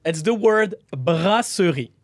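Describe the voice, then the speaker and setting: A young man with a French accent co-hosts a conversational podcast. His voice sits noticeably high for a man, and the sound is dry and close, free of room echo. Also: lively intonation, slow. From France. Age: 20s. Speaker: podcast co-host.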